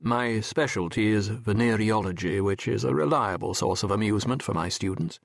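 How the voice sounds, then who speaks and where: A man narrating an audiobook as a wry, reflective French medical professor telling his memoir in a measured, erudite, wistful way. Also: lowish voice, creaky, no echo, studio quality. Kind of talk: scripted first-person literary narration.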